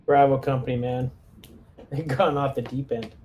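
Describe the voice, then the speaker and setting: A man's voice: mid-range voice, level tone, a little slow, background noise. A man playing a tabletop roleplaying game, talking casually as he plans out what his character does.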